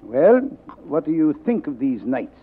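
An actor playing a suave, greedy fat crime boss with florid, courteous manners in a 1940s radio drama. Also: male voice, a little high, big pitch swings, unhurried, breathy edge, heavily acted. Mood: genial, grandiose. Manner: florid, formal, courteous address. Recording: tinny vintage 1940s radio recording.

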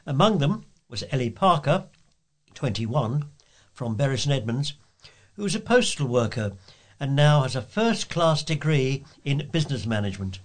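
A man's voice: mid-range voice, lively intonation, normal speed. A man reading scripted local news items aloud as a volunteer news reader.

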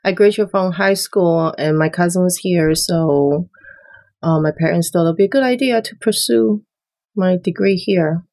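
A middle-aged woman from Taiwan, a small business owner being interviewed, who speaks in a measured, halting way and gives short answers. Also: lowish voice, dark tone. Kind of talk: interview conversation.

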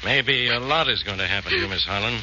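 An actor playing a brusque, hard-bitten police inspector in a 1940s radio drama, gruff and commanding as he interrogates. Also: on the low side, quick pace, lightly acted. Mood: controlled, firm. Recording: noisy muffled old radio broadcast.

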